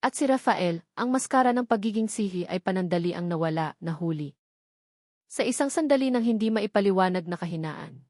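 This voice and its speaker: A woman's voice: medium pitch, lively intonation, brisk, a little mellow, no room sound, clean studio sound. A woman with a Filipino accent reads a scripted romance story as a dramatic narrator.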